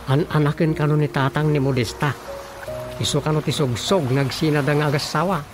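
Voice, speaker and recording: An actor playing a villager eager to spread scandal in a Filipino radio drama, with a Filipino accent. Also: male voice, a little high, steady tone, fairly quick, music in the background, lightly acted. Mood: gossiping.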